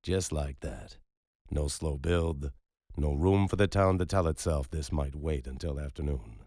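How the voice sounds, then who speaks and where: A man narrating a story in a measured, unhurried storytelling manner. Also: rumbling low, a little mellow, no echo, clean studio sound. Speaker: audiobook narrator. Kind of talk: scripted narration.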